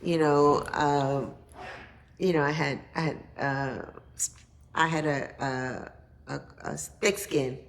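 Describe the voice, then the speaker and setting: A woman's voice: low voice, fairly even intonation, slow, a little hiss or hum. A woman guest on a podcast casually telling an anecdote, voicing quoted exchanges in a conversational way.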